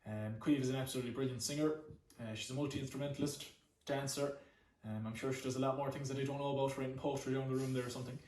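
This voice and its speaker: A man from Connemara with an Irish accent, speaking English though Irish is his first language, chatting casually and warmly fillered as he introduces a song from home. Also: medium pitch, level tone, rapid-fire, hardly any pauses, roomy sound, far from the mic, low-quality recording. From Ireland. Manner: chatty, many fillers, repeats words.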